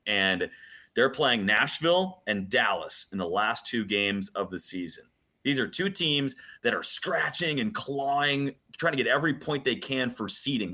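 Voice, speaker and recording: A man's voice: mid-range voice, expressive, moderate pace, bright, forceful tone. A man who works as a radio play-by-play announcer, giving casual interview answers about sport, often repeating words and using colorful slang.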